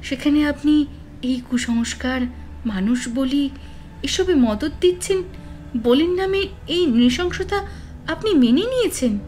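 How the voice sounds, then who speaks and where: A woman's voice: high voice, lively intonation, a little slow, long pauses, a little mellow, slight background noise. A woman playing a bold, rational researcher in a horror audio drama, speaking in an earnest, challenging, articulate way. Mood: reproachful. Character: sceptical female researcher, rational, bold, truth-seeking.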